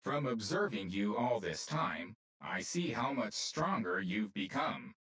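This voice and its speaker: A man's voice: on the low side, very animated, unhurried, a bit bright, far from the mic. A man playing a pedantic, exacting AI machine in a video game, speaking in a precise, formal way. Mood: encouraging. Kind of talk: video game cutscene acting.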